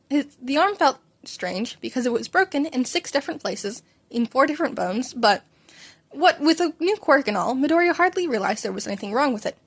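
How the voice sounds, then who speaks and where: A woman narrating a story aloud as an informal hobby reader, sometimes stumbling and rereading a line. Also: on the high side. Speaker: fan fiction podcast reader.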